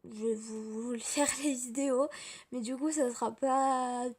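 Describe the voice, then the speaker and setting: A tired, slightly hoarse young woman, rambling casually through a home vlog on her tablet, full of fillers and restarts. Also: a little high, fairly even intonation, unhurried, a little mellow. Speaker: vlogger. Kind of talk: casual daily vlog chat. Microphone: tablet camera.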